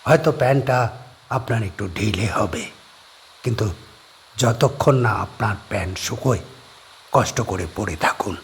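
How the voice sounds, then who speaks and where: A man voicing a lonely, secretive middle-aged farmer on an island, speaking low, soft and measured. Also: slight background noise. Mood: concerned, polite.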